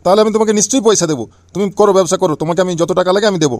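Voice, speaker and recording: Old cartoon grandpa, done by a man, high voice, really fast, doting but kinda disbelieving. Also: darkish tone, no echo, lightly acted. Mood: doting, amazed. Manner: indulgent, incredulous.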